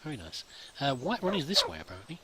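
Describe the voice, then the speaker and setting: A man's voice: mid-range voice, wildly swooping pitch, rapid-fire, noisy background. A man giving casual, improvised roleplay commentary over a video game, musing and thinking aloud as he plays. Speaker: gamer, let's play host.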